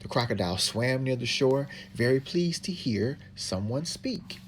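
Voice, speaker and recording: A woman's voice: very deep voice, animated, measured pace, a little hiss or hum. A woman reading a storybook aloud as the narrator. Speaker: narrator, reading partner to a child.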